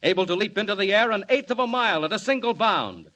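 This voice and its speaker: An American man announces an old-time radio serial in a high, forceful voice. His delivery is grandiose and theatrical, with big swoops of pitch and heavy emphasis, captured on a vintage 1940s broadcast. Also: expressive, fast talker.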